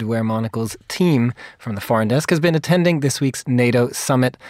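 A man hosting a daily news talk show, introducing guests and segments in a brisk, scripted way. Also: medium pitch, a little mellow, no echo, studio quality. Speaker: radio show host.

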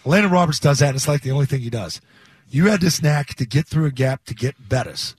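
A man hosting a sports talk radio show, telling lively stories and mimicking the people in them. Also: mid-range voice, fast talker, breathy edge, no room sound. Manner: storytelling, animated impressions of others. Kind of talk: casual sports radio banter. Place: radio studio at a stadium.

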